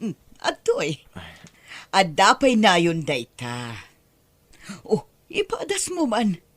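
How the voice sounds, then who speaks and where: A woman's voice: medium pitch, huge pitch swings, slow pace, heavily acted. A woman acting a wealthy, doting, forward lady in an Ilocano audio drama, with a coquettish, sighing delivery. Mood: flirtatious, coaxing.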